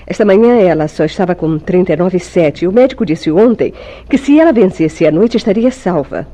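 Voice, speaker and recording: An actress playing a secretive young wife in an old-fashioned Brazilian radio soap, with emotive, formal diction and a Brazilian accent. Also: female voice, fairly low pitch, expressive, a little fast, dark tone. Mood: reassuring, evasive. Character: secretive young wife, tender, anxious, sweetly deceitful.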